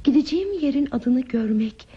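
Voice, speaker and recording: A pensive woman stranded on a journey in a radio drama. Her voice is fairly high and breathy, with deliberate, poetic phrasing. The old recording sounds muffled. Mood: wistful, reflective. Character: pensive, troubled woman traveler stranded at a remote station. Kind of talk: Turkish radio theatre acting.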